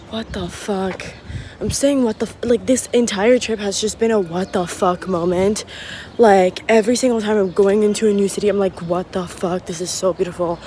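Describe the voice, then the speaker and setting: A woman's voice: medium pitch, a little hiss or hum. Chatty young woman vlogging on a phone. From Morocco. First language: French. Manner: chatty and excitable, many fillers. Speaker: travel vlogger. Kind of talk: casual vlog chat. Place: outdoors and indoors while traveling.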